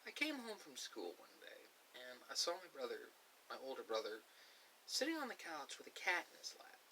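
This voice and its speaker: A middle-aged man telling a personal story in a reflective way, halting often between short phrases. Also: medium pitch, wildly swooping pitch, pushed and bright, low-quality recording. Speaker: ASMR video creator. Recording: clear background hiss.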